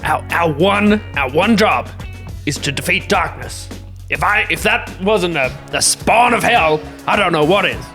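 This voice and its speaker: An earnest hero sworn to fight darkness, played by a man, exasperated and dramatic. The voice is high for a man and very animated, in tabletop roleplay with faint music. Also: measured pace, exaggerated voice.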